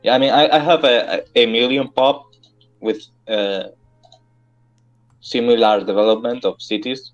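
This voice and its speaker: A man chats haltingly over voice chat, searching for his words and now and then clearing his throat. His audio comes through dull and muffled, with faint music behind. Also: mid-range voice, steady tone. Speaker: fellow player. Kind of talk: casual multiplayer voice chat.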